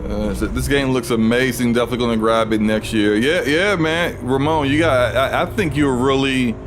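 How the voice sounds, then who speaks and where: Casting: male streamer, deep voice, loose rambling game talk over a noisy game track. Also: moderate pace. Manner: rambling, relaxed, frequent restarts. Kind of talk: casual gaming livestream commentary.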